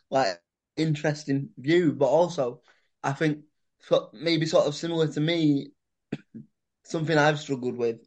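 A man's voice: fairly high pitch, a little mellow. A man hosting a casual podcast chat, rambling and filling his speech with "sort of" and "like". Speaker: podcast host.

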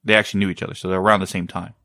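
A man talks in a low voice, loose and meandering. He often stalls to search for the right word. The sound is dry, with no room echo. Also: flat delivery, racing, bright, forceful tone. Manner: rambling, often searching for words. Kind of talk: casual chat.

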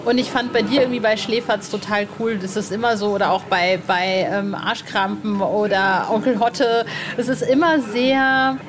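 A woman's voice: mid-range voice, fairly even intonation, normal speed, crowd noise. A woman interviewing a guest in a casual, chatty podcast style.

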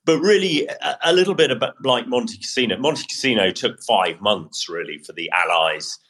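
A middle-aged British man explaining military matters as an analyst on a podcast, in a measured, opinionated way. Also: mid-range voice, animated, a bit bright.

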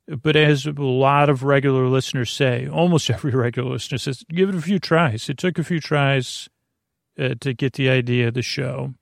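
A man hosting a bedtime podcast in a creaky voice, meandering and halting as he keeps interrupting himself, with a gently absurd, mildly humorous manner. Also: medium pitch, dry recording, clean studio sound. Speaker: podcast host. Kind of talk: rambling scripted-loose bedtime podcast monologue.

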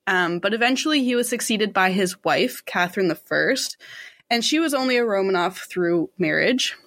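A young woman tells a history story on a podcast in a relaxed, chatty way. She often uses fillers and corrects herself mid-sentence. Her voice is recorded close and dry, with no room sound, and sits at a middle pitch. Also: normal speed, dry recording. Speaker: podcast co-host. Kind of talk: casual podcast narration of history.

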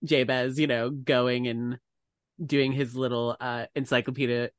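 A man co-hosting a podcast in casual chat, talking in a halting way and often restarting his phrases. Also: medium pitch, level tone, no room sound, clean studio sound. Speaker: podcast co-host.

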